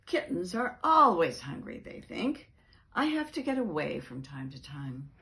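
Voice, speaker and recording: A woman's voice: sits low, big pitch swings, a little slow, creaky, pushed and bright, exaggerated voice. A woman voicing a brusque, proud mother cat with a curt, scolding manner in a storybook read-aloud.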